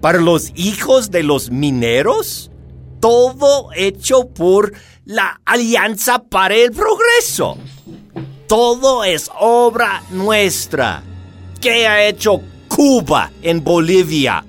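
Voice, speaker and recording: An actor playing a condescending, persuasive American official interrogating a prisoner in a radio drama. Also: male voice, high voice, very animated, takes it slowly, fairly bright tone, faint music behind, heavily acted. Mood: indignant.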